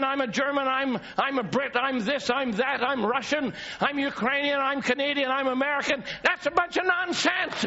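High gravelly shouting preacher, a man. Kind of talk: shouting fiery sermon. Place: church with congregation. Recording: dull lo-fi church recording with congregation.

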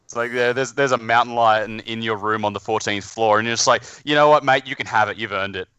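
Male, low-leaning bright voice, close dry sound, wry and sweary in casual banter. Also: steady tone, fast talker, clean tone, no echo, muffled recording. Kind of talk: casual chat.

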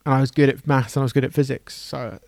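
An English man hosts a podcast, friendly and encouraging, with a gravelly, fry-tinged voice. His pitch stays level and unvarying. The recording is dry and close. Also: little pitch movement, fast, no room sound. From England. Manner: friendly, encouraging, hesitant fillers. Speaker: podcast host. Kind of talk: casual interview chat.

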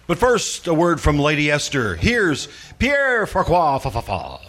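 A man's voice: a little high, wildly swooping pitch, measured pace, fairly bright tone. A man announcing and narrating an old-time radio drama in scripted 1940s style.

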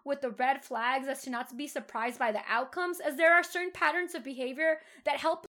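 High-voiced woman therapist co-hosting a podcast. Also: fairly even intonation, brisk, sharp tone. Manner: laughs often, explains patiently. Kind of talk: casual podcast chat.

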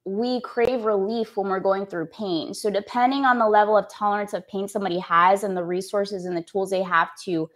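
A woman's voice: mid-range voice, steady tone, a little fast, few pauses. A woman in her thirties from Canada, an executive coach speaking earnestly as a podcast guest, with lots of "like" fillers. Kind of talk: podcast interview.

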